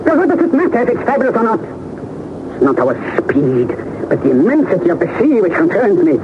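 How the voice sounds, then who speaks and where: An older explorer-professor in a 1960s radio serial, impatient and excitable. His voice sits very high for a man and swings widely as he repeats himself for emphasis. The sound is thin and tinny, with clear noise from the old broadcast. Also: fairly quick. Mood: irritable, impatient. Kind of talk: 1960s radio drama acting.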